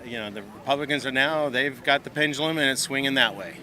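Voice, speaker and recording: A man's voice: mid-range voice, fast, pushed and bright. A man giving his opinion casually in a street interview. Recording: outdoor street noise with passing cars.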